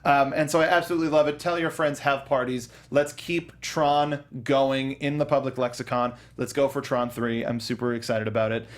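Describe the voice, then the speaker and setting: A man's voice: mid-range voice, fairly even intonation, moderate pace, hardly any pauses. A man co-hosting a casual movie review show, talking enthusiastically and rambling with fillers. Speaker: podcast co-host.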